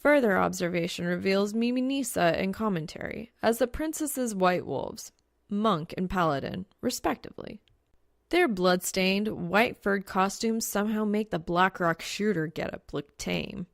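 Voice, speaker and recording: A woman reading fiction aloud as an audiobook narrator, with a wry, expressive delivery full of casual slang. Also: medium pitch, normal speed, creaky, dry recording, clean studio sound. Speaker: audiobook reader of fan fiction. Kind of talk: scripted story narration.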